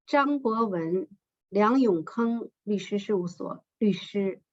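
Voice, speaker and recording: A woman co-announcing award winners at a ceremony, reading each name and firm in a measured, ceremonial way. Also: mid-range voice. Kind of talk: formal announcing of names and titles. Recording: slightly muffled lo-fi sound.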